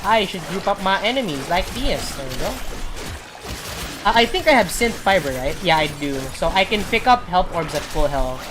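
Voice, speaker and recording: A woman streamer giving rambling gameplay commentary, full of fillers and pauses to search for words. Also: on the low side, lively intonation, background noise.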